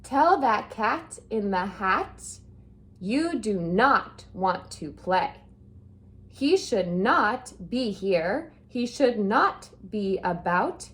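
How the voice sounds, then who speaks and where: A woman's voice: sits high, very animated, drawn-out words, a bit bright, full character voice. A woman voicing a fussy scolding fish. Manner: insistent, scolding. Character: fussy, scolding male pet fish in a bowl. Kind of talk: storybook read-aloud.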